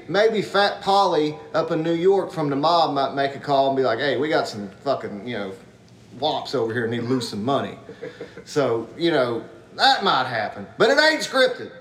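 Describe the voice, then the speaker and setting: A man's voice: on the high side, a bit bright, reverberant, slight background noise. A man delivering a crude, heated comedic rant as a segment host.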